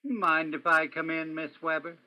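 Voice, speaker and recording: A man's voice: a little high, steady tone, moderate pace, bright, forceful tone, dry recording. A man playing a persistent, world-weary hard-boiled detective in a radio crime drama. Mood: pressing. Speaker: stage actor in a radio play. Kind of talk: old-time radio crime drama acting.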